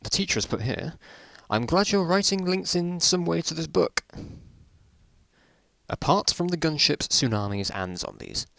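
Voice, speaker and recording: A man's voice: fairly high pitch, big pitch swings, moderate pace, creaky, fairly bright tone, no echo. A British man reading stories aloud in an informal dramatic style, breaking off with amused, incredulous asides. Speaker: reader of his own old school stories.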